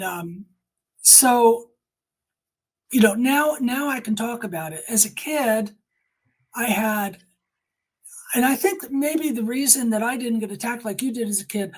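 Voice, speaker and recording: An older woman giving personal testimony in conversation as an interview guest, speaking emphatically with deliberate repetition. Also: a little high, a little mellow, breathy edge, low-quality recording.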